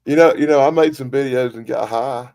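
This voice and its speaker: Male, late 50s, gravelly, stammering chatter, dry mic. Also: medium pitch, fairly quick, a little mellow, dry recording. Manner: stammering repeats, blunt. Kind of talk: casual chat.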